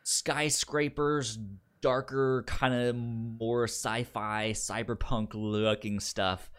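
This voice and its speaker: A man with a mid-pitched voice talks casually about a film as a co-host. His speech is halting and informal, with a stammer that repeats sounds and syllables. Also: level tone, fairly bright tone, smooth.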